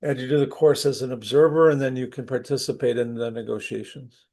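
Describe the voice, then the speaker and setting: A man's voice: medium pitch, steady tone, a little fast, darkish tone. Man with a cold, chatty instructor. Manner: conversational, clears throat often, restarts sentences. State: losing his voice from a cold. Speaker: university instructor. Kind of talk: opening a class session online. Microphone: computer mic on a video call.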